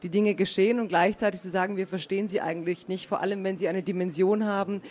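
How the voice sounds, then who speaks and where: A woman speaking as a panel guest, telling relaxed anecdotes. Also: medium pitch, quick pace.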